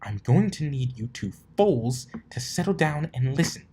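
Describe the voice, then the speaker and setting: Prim lady unicorn shopkeeper voiced by a man, bossy and scolding with some amusement, lifted pitch, noisy storybook recording. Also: very animated, measured pace, warm tone. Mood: stern. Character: prim, bossy unicorn mare shop owner, stern but amused. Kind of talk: amateur storybook character reading.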